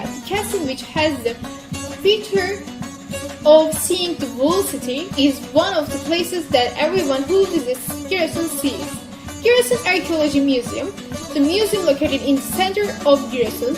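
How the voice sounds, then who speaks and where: A woman giving a stiff, list-like narration of city sights. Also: high-pitched. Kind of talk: scripted narration.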